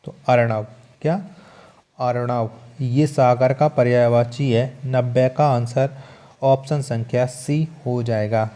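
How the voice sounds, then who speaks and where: A man's voice: mid-range voice, steady tone, slow pace, warm tone. A man teaching an exam-prep lesson, reading out questions and answers and repeating key points for emphasis in an instructional way.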